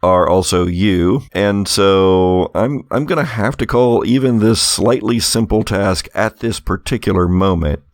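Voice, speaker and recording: A man's voice: fairly low pitch, a little slow, hardly any pauses, no room sound, clean studio sound. A man narrating a tabletop roleplaying game as game master, describing scenes in a conversational, slightly hesitant way.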